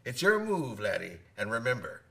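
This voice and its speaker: A man's voice: mid-range voice, sing-song, moderate pace, gravelly, a bit bright. An actor in a radio drama playing a hearty old one-eyed sailor who spins boastful yarns in folksy nautical talk, repeating his phrases. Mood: sly, hearty. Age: older.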